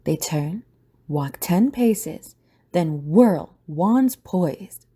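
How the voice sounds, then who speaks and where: A woman's voice: lowish voice, expressive, extremely slow, dark tone, breathy edge. A woman narrating a scripted audio drama as storyteller.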